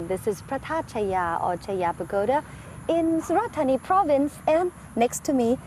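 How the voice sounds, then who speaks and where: A woman's voice: high voice, animated, moderate pace, over music. A woman presenting a travel show outdoors at a temple, introducing her guest. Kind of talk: on-location presenting.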